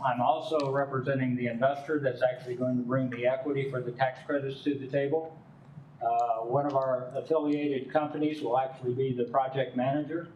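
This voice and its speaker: An older man representing a developer, giving folksy, rambling testimony at a city council meeting and speaking loudly on purpose. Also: mid-range voice, distant mic, lo-fi sound, slight background noise.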